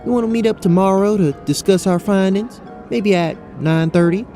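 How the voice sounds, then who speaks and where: An actor playing a dainty, polite Southern belle adventurer with a Southern US accent in a fantasy audio drama. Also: female voice, mid-range voice, moderate pace, mellow, soft background music, lightly acted. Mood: polite, confiding. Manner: genteel, courteous.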